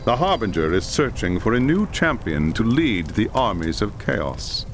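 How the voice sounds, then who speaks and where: An actor playing a grave male companion in a fantasy game cutscene, explaining a dark threat. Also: fairly low pitch, sing-song, normal speed. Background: orchestral game music.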